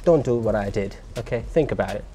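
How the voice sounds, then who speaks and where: A man's voice: lowish voice, expressive, quick pace, mellow, faint music behind. A man giving a casual hands-on explainer for a vlog, informal and thinking aloud as he works. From Australia. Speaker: vlogger showing a DIY car radio install.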